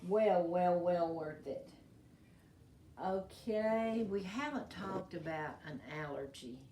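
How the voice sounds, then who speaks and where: An older woman with a low, slightly hoarse voice explains remedies in a folksy, rambling way, stopping and restarting often. She is recorded indoors at a museum's old doctor's office in an echoey room. The mic sits some way off from her. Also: expressive, slow pace, dark tone, distant mic, slight background noise. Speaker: herbal medicine demonstrator. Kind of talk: casual show-and-tell explanation.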